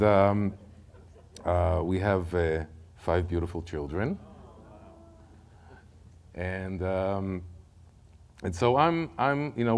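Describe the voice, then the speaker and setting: A middle-aged Israeli man with an Israeli accent giving a testimony sermon from a church podium, telling his story in an animated way and often repeating words as he goes. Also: fairly low pitch. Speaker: guest speaker. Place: church sanctuary, large room.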